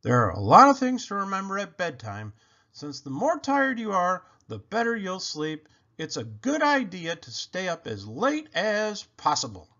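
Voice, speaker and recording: A man reading a children's picture book aloud in an expressive, playful way with animated emphasis. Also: high voice, moderate pace. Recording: dull, lo-fi sound.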